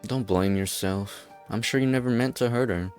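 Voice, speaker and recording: A man playing an earnest, kindly young fellow in an English fan dub, folksy and gentle in manner. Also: mid-range voice, moderate pace, faint music behind, natural voice. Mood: sympathetic.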